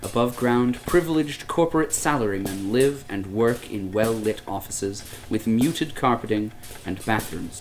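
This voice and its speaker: An actor playing a grave, ominous narrator, giving measured, theatrical narration for a radio serial. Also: male voice, lowish voice, poor audio. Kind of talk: scripted dramatic narration. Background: music.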